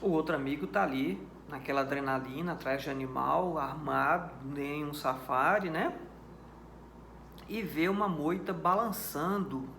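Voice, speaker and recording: A man's voice: fairly high pitch, unhurried, gravelly, pushed and bright, echoey room, slight background noise. A Brazilian man teaching criminal law in a lecture, explaining points step by step, repeating key ideas and checking that listeners follow. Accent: Brazilian Portuguese. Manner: didactic, repeats key points, checks understanding with rhetorical questions.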